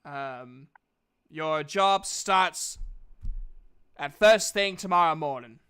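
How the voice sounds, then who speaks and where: A man narrating a tabletop fantasy game as game master, with halting phrasing. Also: a little high, bright, forceful tone, clear, resonant tone.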